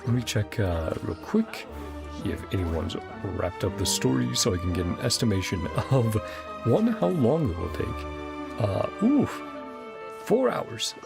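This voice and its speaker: Male streamer, low pitch, creaky fry, casual and enthusiastic rambling, music clearly behind. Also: sing-song, measured pace. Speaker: game streamer, host. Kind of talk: casual livestream commentary wrapping up a game session. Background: game music.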